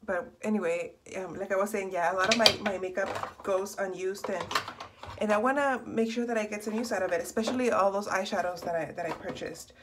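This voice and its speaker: A young woman, hoarse from a cold and slightly raspy, chatting in a rambling, self-correcting way while doing her makeup in a small bedroom. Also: mid-range voice, fairly quick, background noise. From Texas. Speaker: beauty vlogger. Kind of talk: casual get-ready-with-me chat. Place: small bedroom in front of a door.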